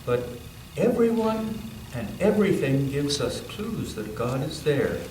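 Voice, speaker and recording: Slow, lively man reading aloud, echoey room. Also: a little high. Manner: pauses to ask listeners questions about the pictures. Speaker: storybook reader at a church story time for all ages. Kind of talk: reading a picture book aloud to children, with asides. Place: roomy church interior. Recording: echoey room, distant mic, background noise.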